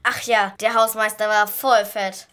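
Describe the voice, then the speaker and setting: A woman's voice: mid-range voice, flat delivery, a little slow, a bit bright, natural voice. A female amateur playing a dim, blunt policewoman who blurts out rude remarks in an audio drama. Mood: breezy.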